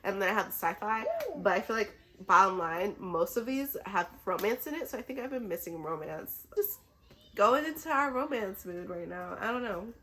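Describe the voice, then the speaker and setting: A woman's voice: medium pitch, animated, normal speed, sharp tone. A woman who is a little sick chats casually and enthusiastically to camera as a book vlogger, in an informal way.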